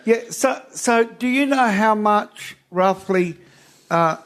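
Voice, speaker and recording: A man's voice: high voice, slow, fairly bright tone. A man in a conference audience asking a question, stumbling and repeating words as he searches for them.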